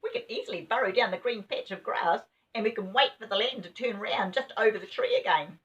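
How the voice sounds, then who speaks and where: A woman's voice: medium pitch, brisk, fairly bright tone, voice pushed up, lightly acted. A woman voicing a small, helpful, anxious rabbit from a children's storybook, urgent and exclaiming. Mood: eager, urgent. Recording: dull, distant home recording.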